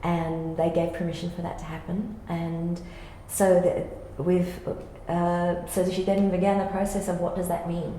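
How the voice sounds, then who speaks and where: A woman's voice: lowish voice, level tone, measured pace, hardly any pauses, mellow. A woman explaining a creative process as a theatre director, thoughtful and informal. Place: reverberant indoor room. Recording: very echoey room, faint background noise.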